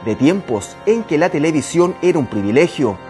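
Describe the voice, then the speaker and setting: A man's voice: a little high, sing-song, moderate pace, dark tone, faint music behind. A man in his thirties with a Chilean accent, hosting and narrating a scripted documentary.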